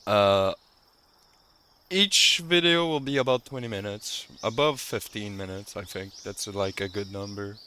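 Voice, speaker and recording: A man with a low voice hosts a game playthrough in a loose, rambling, laid-back way. Faint game insect ambience sits behind him. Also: unhurried, pushed and bright, slight background noise. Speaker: gaming video host. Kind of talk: casual playthrough commentary.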